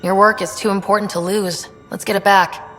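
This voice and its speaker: A woman's voice: medium pitch, little pitch movement, fairly quick, a bit bright, close to an everyday voice. An actress playing a confident, friendly young woman space explorer in a video game, earnest and easygoing. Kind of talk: video game dialogue acting. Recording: long reverberant space, faint music.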